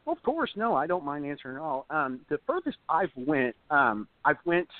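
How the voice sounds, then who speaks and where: Hoarse young actor, chatty, rambling phone interview guest, dry and tinny. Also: male voice, on the high side, sing-song, normal speed, no room sound. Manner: rambling, many fillers and restarts. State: slightly hoarse. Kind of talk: casual interview over the phone.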